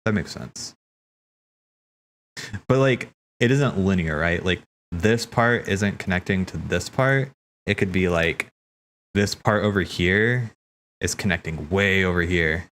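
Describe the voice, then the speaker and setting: A man streaming casual, rambling commentary on game cutscenes, thinking aloud in a halting way and repeating himself. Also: low voice, fairly bright tone.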